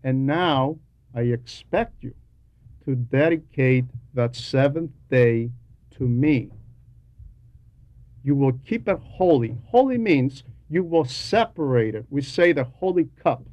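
A man delivering a teaching sermon in a deliberate, emphatic way, repeating key phrases for weight. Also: mid-range voice, animated, a little mellow, dry recording, muffled recording. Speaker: homilist reading a translated homily.

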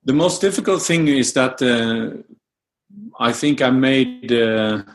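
A man's voice: mid-range voice, animated, takes it slowly. A middle-aged man whose first language is Dutch, answering interview questions about his research with many fillers and restarts. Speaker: music researcher, interview guest.

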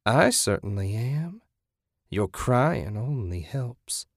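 Male narrator as menacing woman, sanctimonious, raspy, taunting, raised pitch, close dry audiobook sound. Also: big pitch swings, dry recording, heavily acted. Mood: leering. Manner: taunting, sinister, drawn-out threats. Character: menacing, sanctimonious, depraved woman captor with knife. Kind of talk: dramatic audiobook character voice.

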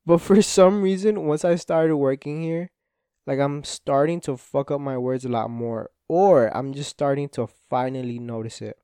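A young man whose was Spanish talks through a solo podcast episode in a loose, rambling way. He swears a lot and leans on "like" as filler. His voice is smooth, mellow and dark, and it is recorded dry and close. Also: mid-range voice, fairly even intonation, normal speed, dry recording. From Spanish-speaking home. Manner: rambling, profane, self-deprecating, frequent "like" fillers. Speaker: podcast host. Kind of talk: casual solo podcast monologue.

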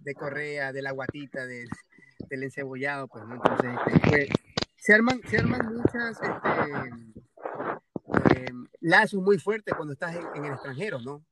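A man's voice: a little high, expressive, a little slow, heavy background noise. A man from Ecuador with a Guayaquil accent, hosting a podcast in a casual conversational way, hesitant and full of fillers and restarts. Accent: Guayaquil Ecuadorian Spanish. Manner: hesitant, many fillers and restarts, tag questions. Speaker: podcast host.

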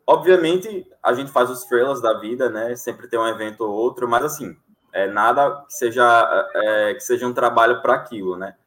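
Relaxed young man chatting as a guest. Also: medium pitch, moderate pace. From Alagoas, Brazil. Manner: relaxed, many fillers, laughs easily. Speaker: sign language interpreter guest. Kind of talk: casual interview chat.

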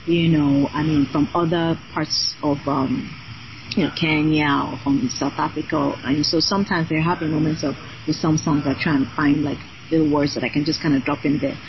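An energetic woman of Nigerian heritage, a singer and designer, chatting freely as a podcast guest with many fillers. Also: sits low, moderate pace, dull audio, slight background noise. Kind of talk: casual interview chat.

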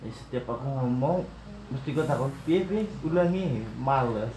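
A man's voice: medium pitch, animated, normal speed, darkish tone, echoey room, slight background noise, low-quality recording. A young man with a Banyumasan Javanese ngapak accent, giving short, reluctant answers as a podcast guest. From Cilacap, Central Java.

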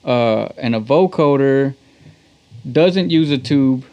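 A man's voice: medium pitch, slow, dark tone, smooth. Slangy Texan man in muffled audio. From Texas. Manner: slangy, conversational. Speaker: interview guest, talk box musician. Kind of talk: casual chat.

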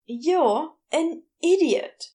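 A woman's voice: sits high, expressive, extremely slow, dark tone, voice pushed up, exaggerated voice. A woman voicing a brash, irritable male shopkeeper with a blunt, exasperated manner in a narrated story. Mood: defensive, blunt.

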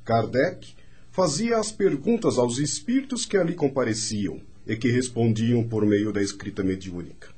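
Male radio drama narrator, medium pitch, mellow with some huskiness, scripted expository read. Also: expressive, moderate pace, husky.

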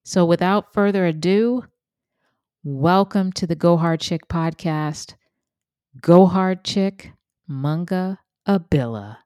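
A woman's voice: fairly low pitch, slow, warm tone. A woman hosting a podcast, eager and encouraging toward her guest. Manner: encouraging, eager, repeats words. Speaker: podcast host.

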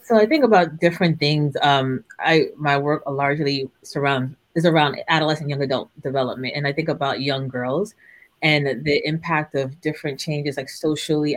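A man speaking as a panel guest, thoughtful and measured as he describes his work. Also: a little high, no room sound. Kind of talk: panel discussion.

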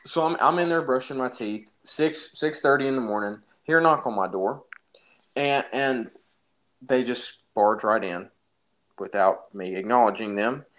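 A man's voice: medium pitch, measured pace, a bit bright. A young man casually telling an anecdote in an informal, jokey, exaggerating way. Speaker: storyteller in a podcast-style phone chat.

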